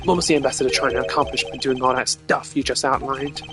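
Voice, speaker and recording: A man's voice: medium pitch, steady tone, quick pace, music in the background, close to an everyday voice. A man voicing a brash, teasing detective from a courtroom video game, with a casual, taunting manner. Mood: sardonic.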